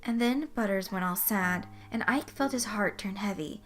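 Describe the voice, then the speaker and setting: A woman's voice: medium pitch, normal speed, a bit bright. Woman narrating a story over music. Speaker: fan fiction narrator.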